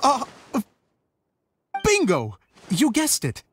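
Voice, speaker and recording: A woman playing a gentle, earnest young male theater director in a video game, polite and sentimental. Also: on the high side, huge pitch swings, very slow, slight background noise, exaggerated voice. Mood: friendly.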